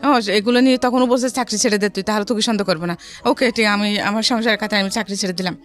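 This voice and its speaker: A middle-aged woman with a Bangladeshi accent telling her life story in a studio interview, haltingly and often repeating phrases. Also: mid-range voice, fairly even intonation. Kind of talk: personal storytelling in a radio interview. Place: radio studio. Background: background music.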